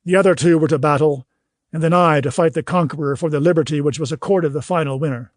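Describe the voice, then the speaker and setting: A man reading scripted audiobook narration in a measured, literary storytelling manner. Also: fairly high pitch, no room sound, clean studio sound.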